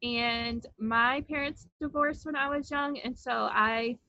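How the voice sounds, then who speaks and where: Bright-voiced chatty woman on a video call. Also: fairly high pitch, fairly even intonation, takes it slowly, no echo. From New Hampshire and Virginia, US. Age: 30s. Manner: chatty, laughs easily. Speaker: co-host. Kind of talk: casual video-call chat. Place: home room. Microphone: webcam on video call. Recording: dull lo-fi call audio.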